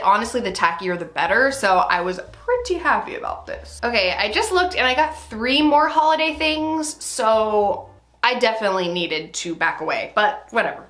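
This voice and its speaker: A young woman from Tennessee chatting through a thrift haul. She talks fast, with a shrill voice that carries, and uses a lot of "like". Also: sharp tone, reverberant. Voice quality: shrill, carrying voice. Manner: chatty, bubbly, full of "like". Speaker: vlogger. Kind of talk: casual thrift haul chat. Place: thrift store, then at home.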